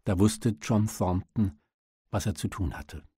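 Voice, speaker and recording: A man's voice: fairly low pitch, a little slow, mellow, slightly rough, no echo, studio quality. A man narrating an audiobook, reading scripted literary prose.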